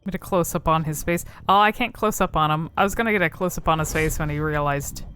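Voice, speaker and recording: A woman's voice: low-pitched, fast, fairly bright tone, faint music behind. A woman giving casual game commentary, rambling and thinking aloud as she explores cutscenes.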